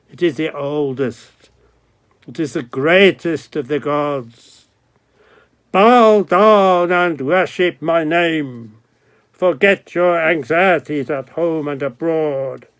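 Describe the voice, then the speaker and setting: An actor playing an ancient, grandiose male god of time, speaking in a solemn, incantatory way with long dramatic pauses. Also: a little high, a bit bright. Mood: solemn commanding. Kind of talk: dramatic poetic monologue.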